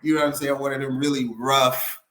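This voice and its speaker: A man's voice: medium pitch, level tone, brisk, echoey room, noisy background. A male singer being interviewed, talking casually and rambling with lots of "you know what I'm saying". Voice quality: raspy.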